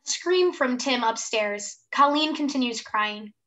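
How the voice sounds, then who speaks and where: A woman's voice: fairly high pitch, expressive, unhurried, pushed and bright. A woman in her twenties reading scripted stage directions for a play over a video call. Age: 20s. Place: room at home, indoors.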